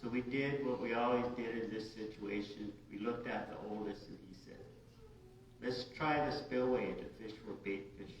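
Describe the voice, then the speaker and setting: A man from the United States, a writer reading his own short story aloud in a halting, informal way. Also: medium pitch. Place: large echoey indoor room at a live reading. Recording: very echoey room, distant dull mic, hiss.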